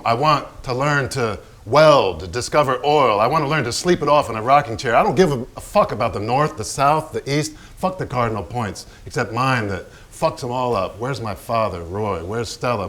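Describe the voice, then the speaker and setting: A man's voice: mid-range voice, a bit bright, lightly acted. A man on stage playing a self-destructive, hard-drinking, raging painter in a theatrical monologue, declaiming in short blunt phrases. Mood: lost, restless. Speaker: stage actor in a staged reading.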